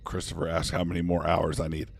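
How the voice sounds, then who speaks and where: A man's voice: low voice, completely flat delivery, fast talker, gravelly, slight background noise. A man chatting casually on a live poker stream, thanking viewers and joking in character as a starship commander. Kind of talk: casual live-stream chat while playing online poker.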